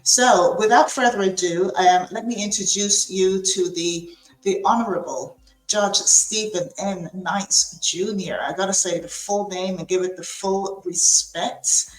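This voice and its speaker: A woman's voice: mid-range voice, fairly even intonation, unhurried. A woman hosting a podcast interview, chatting casually with lots of fillers and restarts. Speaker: podcast host. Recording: echoey room.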